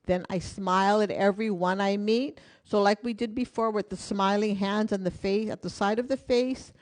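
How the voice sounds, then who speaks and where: A woman's voice: mid-range voice, steady tone, normal speed. A woman teaching a live online hula class from her home in Hawaii, counting beats and talking through each move in a friendly, encouraging way.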